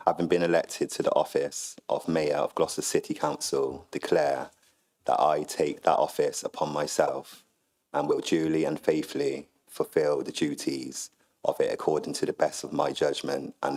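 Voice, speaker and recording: A man recently elected mayor, slowly reading the oath of office and then giving a speech in a croaky voice after a flu. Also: extremely low pitch, little pitch movement, a little mellow. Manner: slow, measured declaration. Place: council chamber.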